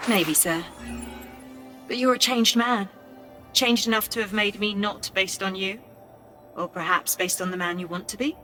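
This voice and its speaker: A woman playing a loyal AI assistant in a video game, polite, measured and dryly witty. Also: mid-range voice, fairly even intonation, pushed and bright, natural voice. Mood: dryly fond. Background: music.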